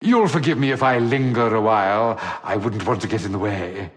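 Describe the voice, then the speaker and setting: An actor playing a suave, cowardly gentleman rogue in a video game, glib, florid and theatrically polite. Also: fairly low pitch, normal speed, close to an everyday voice. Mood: mock-gallant. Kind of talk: video game character acting. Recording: long hall reverb.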